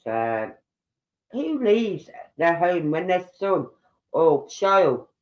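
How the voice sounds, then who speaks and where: A man's voice: fairly high pitch, sing-song, drawn-out words, highs cut off. An older man with a congested nose, musing aloud as he hosts a casual true-crime livestream from home. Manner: rambling, musing aloud, breaks off mid-sentence. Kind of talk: casual livestream commentary reading posts aloud.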